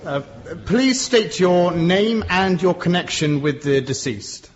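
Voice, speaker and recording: A slow, halting lawyer. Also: male voice, sits high, expressive. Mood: formal. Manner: formal, halting. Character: hesitant, formal Victorian courtroom lawyer questioning a witness. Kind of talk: British radio drama acting. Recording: muffled, noisy, clicking lo-fi recording.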